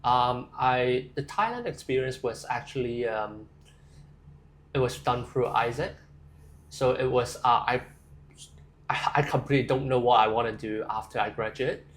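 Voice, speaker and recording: A man's voice: mid-range voice, moderate pace, fairly bright tone. A young man from Hong Kong, answering interview questions casually and thoughtfully, with frequent fillers and restarts. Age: young adult. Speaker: interview guest.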